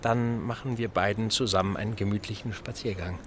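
An actor playing a chatty, absent-minded older admiral in a period radio play, musing and jovial. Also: male voice, lowish voice, steady tone, brisk, a little hiss or hum, lightly acted. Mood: genial, confiding.

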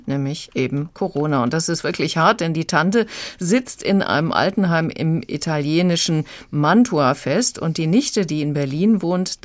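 A woman with a low voice speaks as a reviewer in a radio conversation. She explains in a conversational way, in a very dry acoustic. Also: no room sound. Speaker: audiobook reviewer.

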